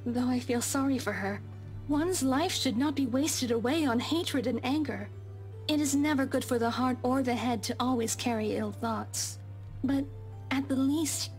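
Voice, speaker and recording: A woman's voice: high voice, darkish tone, close to an everyday voice, low-quality recording. A woman playing a formal, deferential young housemaid, obsessive and cryptic, in a visual novel game. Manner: formal, deferential, archaic phrasing.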